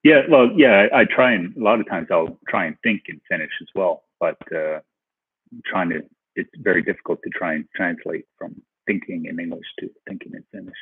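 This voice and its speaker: A man hosting a casual livestream, reading chat questions aloud and answering them, often switching into halting Finnish with many restarts. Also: low-pitched, husky. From Canada. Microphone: headphones with mic. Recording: tinny phone-like livestream audio.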